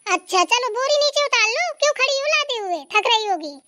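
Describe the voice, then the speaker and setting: A performer voicing a busy, hospitable older village aunt in a Hindi cartoon, brisk and insistent. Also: very high voice, lively intonation, bright, forceful tone, dry recording, studio quality, full character voice. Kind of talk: Hindi family cartoon dialogue.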